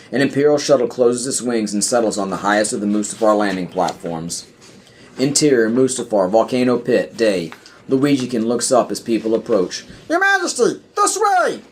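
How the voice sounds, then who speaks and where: Man narrating a script over faint noise. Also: fairly low pitch. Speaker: podcast narrator. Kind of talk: scripted narration of screenplay directions.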